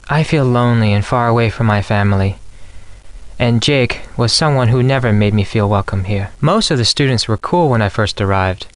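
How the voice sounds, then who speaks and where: A man's voice: mid-range voice, no echo, natural voice. A man playing a polite, formal, anxious young Japanese exchange student in a video game. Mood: earnest, subdued. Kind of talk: scripted video game dialogue.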